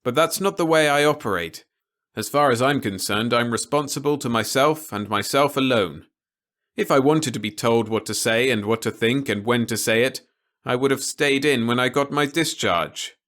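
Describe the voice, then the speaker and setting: A man voices a stubborn, independent-minded ex-serviceman in an audiobook story. He is blunt and sardonic, with the pitch pushed up from a middle register. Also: moderate pace, a bit bright, close to an everyday voice. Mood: defiant. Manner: blunt, sarcastic. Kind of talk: audiobook character dialogue.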